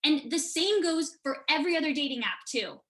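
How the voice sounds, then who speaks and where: A woman's voice: high-pitched, expressive, brisk, fairly bright tone, voice pushed up. A young woman playing an exasperated, outspoken thirty-year-old daughter in a staged reading, emphatic and indignant. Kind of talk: staged reading over video call.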